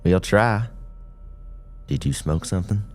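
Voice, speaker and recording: A man playing a calm veteran cop, mellow voice. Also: deep voice. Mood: calm authority. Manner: measured, authoritative. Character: experienced male police officer, calm gentle authority.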